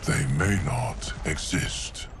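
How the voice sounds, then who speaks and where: A man's voice: sits low, animated, slow, gravelly, slight background noise, exaggerated voice. An actor playing a grave, commanding mystical man in a video game, speaking formally and portentously. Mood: solemn, measured. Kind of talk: video game cutscene acting.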